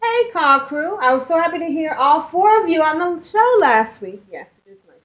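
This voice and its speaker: High-voiced cheerful woman. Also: big pitch swings, normal speed. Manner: cheerful, giggly, affectionate. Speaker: podcast co-host. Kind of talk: casual chat, reading listener emails aloud.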